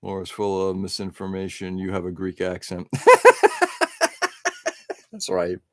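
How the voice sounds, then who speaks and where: A man's voice: deep voice, completely flat delivery, measured pace, mellow, no room sound, studio quality. A middle-aged man hosting an early-morning live stream, rambling casually and answering viewers' chat comments.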